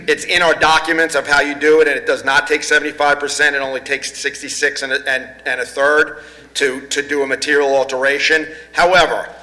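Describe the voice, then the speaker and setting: A man with a fairly high, bright and forceful voice lays out the association's rules at a board workshop. He talks in a measured, explanatory way, stopping now and then to hedge and restate a point. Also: low-quality recording.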